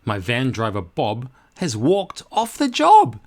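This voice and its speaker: A man's voice: medium pitch, wildly swooping pitch, takes it slowly, darkish tone, full character voice. A man voicing a flustered, bossy woman who runs a post office, exclaiming dramatically as in a children's picture book.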